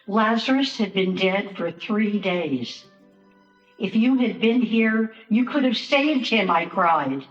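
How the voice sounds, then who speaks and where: A woman's voice: mid-range voice, lively intonation, takes it slowly. A woman playing a grateful, grieving sister in a Passion drama, with a subdued, sorrowful delivery. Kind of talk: dramatic monologue in a church Passion play. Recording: echoey, slightly dull, music behind.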